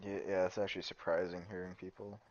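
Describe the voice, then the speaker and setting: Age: adult. Sex: man. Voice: deep, growly, monotone. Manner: rambling, sweary, laughs often. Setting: casual gaming-stream chat. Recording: muffled lo-fi headset audio. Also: quick pace. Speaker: streamer's friend.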